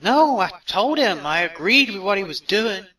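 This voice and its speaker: Man acting bright, earnest young robot leader. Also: high-pitched, very animated, normal speed, far from the mic, heavily acted, low-quality recording. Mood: defensive. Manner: earnest, insistent. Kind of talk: audio drama acting.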